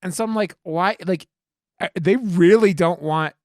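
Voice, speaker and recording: Podcast host, medium pitch, casual banter, teasing, crude humour, dry close sound. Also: a little slow, a little mellow, no room sound. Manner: teasing, profane joking.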